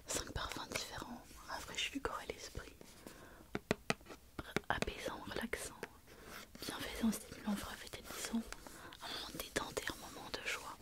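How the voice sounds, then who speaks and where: A woman with a head cold, softly whispering through an ASMR show-and-tell with many pauses and fillers. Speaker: ASMR creator.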